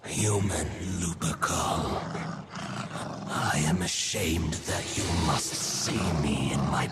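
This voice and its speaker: A man voicing an ancient, proud wolf god spirit in a fantasy game, speaking in a grave, stately, archaic way. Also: low voice. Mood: grave, weary. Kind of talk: fantasy game character acting.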